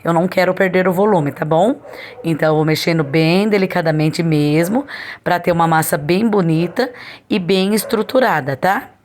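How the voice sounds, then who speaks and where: A Brazilian woman with a hoarse voice, chattily talking through a recipe in a casual home-baking tutorial. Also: fairly low pitch, normal speed, a little mellow, slight background noise. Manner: chatty, instructional. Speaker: home baker presenting a recipe.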